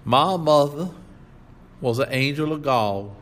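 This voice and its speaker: A man's voice: mid-range voice, animated, a little slow, a bit bright, pitched above the performer's own voice. A man voicing a sentimental, pious drifter, pleading and preachy, in an audiobook reading.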